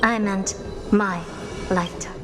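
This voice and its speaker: An actress playing a haughty, theatrical genius scholar in a video game, pompous, mocking and self-assured. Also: female voice, mid-range voice, steady tone, a little slow, over music, lightly acted. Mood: gleeful, smug. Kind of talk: scripted video game voice acting.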